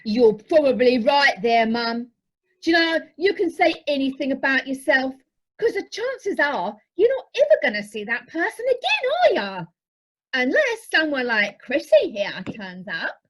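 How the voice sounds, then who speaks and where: A woman's voice: sits high, big pitch swings, a little slow, low-quality recording. A woman playing a blunt, slangy, cheeky daughter on a cruise in an amateur radio drama. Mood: cheeky, sceptical.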